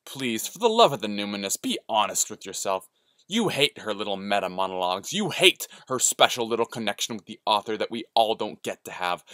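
Vampire woman done by a man, blunt, sardonic, needling, story character, lowish dry voice. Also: a bit bright, no room sound.

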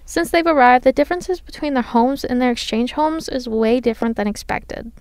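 A woman's voice: high voice, brisk, darkish tone, a little hiss or hum. A teenage girl reporter reading a scripted feature voice-over, carefully paced. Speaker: student reporter.

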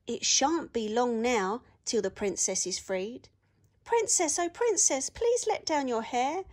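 A woman's voice: a little high, animated, slow pace, a little mellow. A woman voicing an earnest young boy hero in a fairy-tale picture book, calling out urgently. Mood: excited.

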